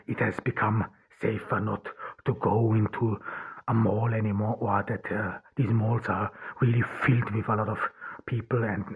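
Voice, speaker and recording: A man in his thirties from Austria, with an Austrian German accent, hosting a solo podcast and speaking haltingly with many restarts and fillers. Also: lowish voice. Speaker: podcast host. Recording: husky voice, phone-like lo-fi audio.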